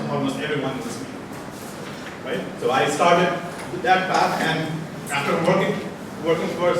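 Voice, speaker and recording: A man in his forties from Nepal, giving a conversational guest lecture and quizzing the audience. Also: on the high side. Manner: conversational, asks the audience questions, many restarts. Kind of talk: informal classroom lecture. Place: large echoey lecture hall. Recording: echoey hall, distant mic, noise.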